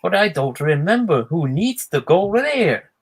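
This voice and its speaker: A man's voice: high voice, big pitch swings, unhurried, husky, full character voice. A man voicing a confused, apologetic station master in a children's storybook.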